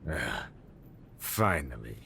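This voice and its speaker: A menacing game villain, gloats in a very low voice. His delivery is deliberate and taunting, with wide swings in pitch. A faint noise sits behind him. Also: very animated, vocal fry, bright, forceful tone, heavily acted. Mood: menacing, gloating. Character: menacing male crime boss, coolly gloating. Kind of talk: cinematic video game acting.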